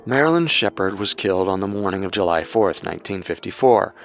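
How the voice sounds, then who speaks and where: A man's voice: low voice, animated, a little fast, gravelly. A man hosting a true-crime show with scripted narration. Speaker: host and narrator.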